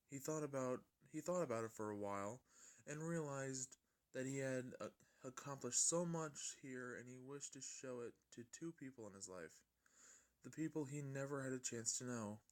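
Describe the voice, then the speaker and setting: A man narrating a fan fiction story in an amateur style, often stumbling and rereading his lines. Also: mid-range voice.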